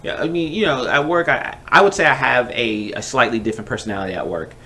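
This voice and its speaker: A man's voice: mid-range voice, fast, bright, forceful tone, slight background noise. A young man co-hosting a podcast, intense and teasing in casual chat. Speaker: podcast co-host.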